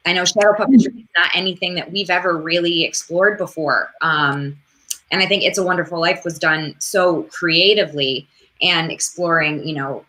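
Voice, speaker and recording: A woman's voice: lowish voice, moderate pace, sharp tone, rough recording. A woman in her thirties giving a thoughtful interview answer over a video call. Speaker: actor and theater worker. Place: indoor room with plain dark wall.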